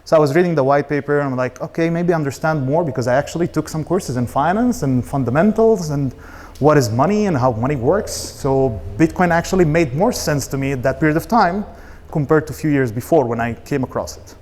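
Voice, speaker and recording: Higher-voiced man, Arabic speaker. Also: animated, brisk, darkish tone. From Israel. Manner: casual, blunt, swearing. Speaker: startup co-founder, conference speaker. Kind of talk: informal stage talk, personal storytelling.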